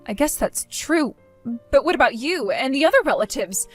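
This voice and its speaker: A woman's voice: high-pitched, expressive, normal speed, faint music behind. A woman playing Annie, a whiny self-absorbed bride. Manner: whiny, pleading, self-centered. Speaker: bride, younger sister. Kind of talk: scripted dramatic dialogue.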